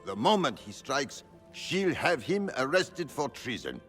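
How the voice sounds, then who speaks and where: A man's voice: mid-range voice, very animated, measured pace, fairly bright tone. A man playing a duped, embarrassed palace conspirator in a fantasy video game, flustered and pleading. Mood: desperate, pleading. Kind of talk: dramatic fantasy game dialogue. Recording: long hall reverb, background music.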